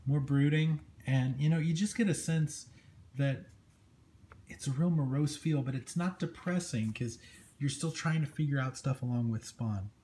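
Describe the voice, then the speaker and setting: A man's voice: mid-range voice, normal speed, dark tone. A man hosting a casual comic book review, talking in a conversational, enthusiastic way as he goes through the pages. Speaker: comic book show host. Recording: clearly noisy amateur recording with room ambience.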